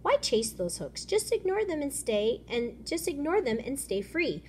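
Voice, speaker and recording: A woman's voice: sits high, very animated, measured pace, pitched above the performer's own voice. A woman voicing a small, plucky fish in a picture book, exclaiming in short bursts. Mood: carefree. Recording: noisy room ambience.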